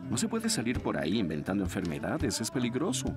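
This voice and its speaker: A man's voice: on the low side, wildly swooping pitch, quick pace. A man voicing an affectionate middle-aged father in a cartoon, earnest, theatrical and caring. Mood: gentle concern. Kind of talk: animated cartoon dub. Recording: loud music behind the voice.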